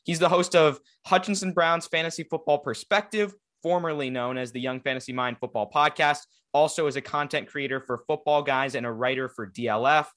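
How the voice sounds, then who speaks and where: A man's voice: a little high, fairly even intonation, fairly quick, hardly any pauses, a bit bright. A young man hosting a sports podcast in a casual, rambling chat with a guest. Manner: rambling, filler-heavy, conversational. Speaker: podcast host.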